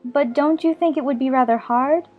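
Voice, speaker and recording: A woman's voice: high voice, moderate pace, soft background music, lightly acted. A woman playing a polite, curious young girl who questions frankly, in a dramatized audiobook with muffled sound. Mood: curious, cheerful.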